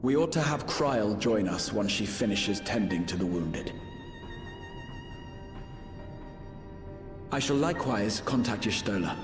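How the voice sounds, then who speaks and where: Casting: male actor for thoughtful, exact fantasy adventurer, expressive melody, formal game dialogue, roomy echo. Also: on the low side, normal speed, music in the background, close to an everyday voice. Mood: decisive. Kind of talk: fantasy video game cutscene acting.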